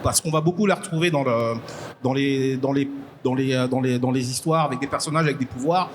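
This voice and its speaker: A man from Congo, a guest on a live podcast panel, explaining his ideas in a halting way and repeating words as he thinks. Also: mid-range voice. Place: convention stage before a live audience. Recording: noisy live event ambience.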